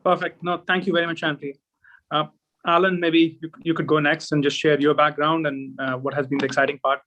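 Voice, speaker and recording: A man's voice: on the high side, little pitch movement, a little fast, a bit bright, low-quality recording. A man moderating a video call webinar panel, politely handing turns to the speakers.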